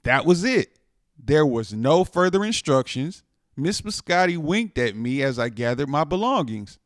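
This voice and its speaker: Animated man reading a storybook aloud. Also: a little high, no echo, clean studio sound. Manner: expressive, dramatic emphasis with drawn-out words. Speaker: storytime reader. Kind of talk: animated children's picture book read-aloud.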